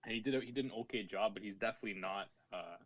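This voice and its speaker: A man's voice: fairly low pitch, rapid-fire, fairly bright tone, dry recording. A young man co-hosting a football podcast, chatting casually and slangily with lots of "like" and "bro". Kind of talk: casual sports chat among friends.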